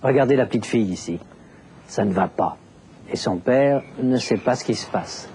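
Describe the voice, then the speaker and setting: A man narrating a language lesson, explaining deliberately with very clear enunciation. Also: medium pitch, noisy background. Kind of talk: scripted teaching narration.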